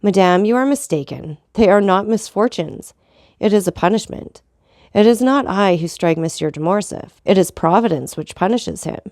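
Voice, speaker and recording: A woman reading an audiobook voices a vengeful middle-aged nobleman who speaks with bitter, measured calm. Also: sits high, no echo, studio quality. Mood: icy calm. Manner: bitter, measured, coldly ironic.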